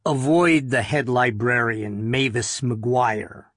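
A man plays a big, assured boss in an audiobook story. He speaks in a measured, thoughtful way. The sound is dry, with no room to it. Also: mid-range voice, a bit bright, no echo. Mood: grave.

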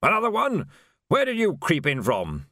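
A man's voice: sits high, wildly swooping pitch, moderate pace, sharp tone, no room sound, studio quality, pitched above the performer's own voice, full character voice. A man voicing a muddled, frightened male ruler with a querulous, bewildered manner in an audiobook. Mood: fuddled, plaintive.

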